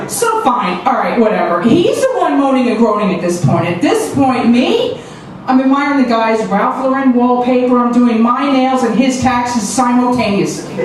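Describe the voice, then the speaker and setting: A woman's voice: sits high, a little mellow. Brash New woman doing stand-up. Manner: brash, punchy delivery with crude punchlines. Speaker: stand-up comedian. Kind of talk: stand-up comedy set. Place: small comedy club stage. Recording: distant echoey club recording with background noise.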